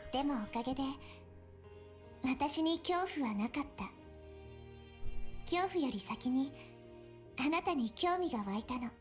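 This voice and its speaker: Enigmatic anime-game girl, high and quick, soft, faintly wistful. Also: expressive, over music. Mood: wistful, quiet. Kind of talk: Japanese visual novel voice acting.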